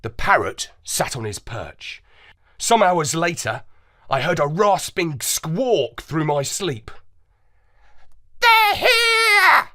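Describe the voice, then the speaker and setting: A woman narrates a children's story in a storybook cadence. She reads slowly, with a voice that rises and falls dramatically. Her delivery stays expressive throughout. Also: sits low.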